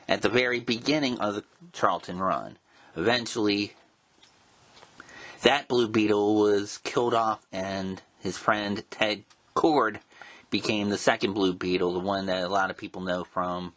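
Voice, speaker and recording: A man hosting a show in a casual, informal way, with a halting, rambling delivery. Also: fairly low pitch, fairly bright tone, dry recording. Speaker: show host and interviewer. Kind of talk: casual hosting and commentary on comics history.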